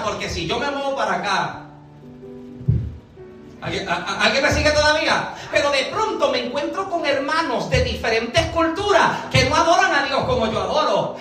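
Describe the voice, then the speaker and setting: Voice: sits high, fairly bright tone. A preacher from Puerto Rico with a Puerto Rican Spanish accent delivers a sermon, often posing rhetorical questions and checking that listeners follow. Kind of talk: sermon to a congregation. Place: large echoey room, church service. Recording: echoey hall, distant mic, music behind.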